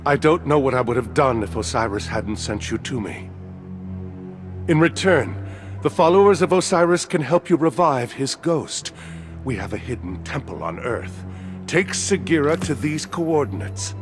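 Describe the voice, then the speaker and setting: A man's voice: medium pitch, lively intonation, moderate pace, close to an everyday voice. An actor playing a devout male zealot in a video game, speaking with earnest reverence. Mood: reverent, grateful. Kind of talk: video game cutscene voice acting. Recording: loud music under the voice.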